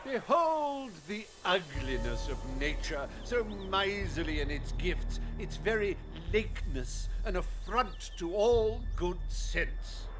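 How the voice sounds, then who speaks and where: An actor playing a smug, greedy industrialist in a video game, speaking in pompous, theatrical oratory. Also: male voice, high voice, sing-song, slow pace, hardly any pauses, music in the background, full character voice. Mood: grandiose showmanship.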